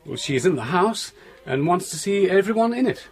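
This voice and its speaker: An actor playing a gentlemanly, protective asylum doctor with polite, measured phrasing in an audio drama. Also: male voice, sits high, very animated, breathy edge, full character voice. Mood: cautious, protective. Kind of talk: British radio drama acting.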